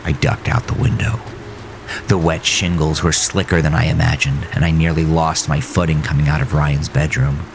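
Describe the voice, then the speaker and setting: A man narrating a first-person horror story in a deliberate, suspenseful, dramatic way with a gravelly voice. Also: a bass voice, flat delivery. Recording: rain and storm noise behind voice. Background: rain, storm ambience.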